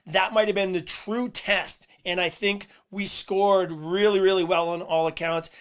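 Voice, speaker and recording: A man hosting a sports podcast in a casual monologue. His voice is a little hoarse and he talks earnestly, with "uh"s and repeated words. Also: high-pitched, level tone, a little slow, a bit bright. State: slightly hoarse voice. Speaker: podcast host.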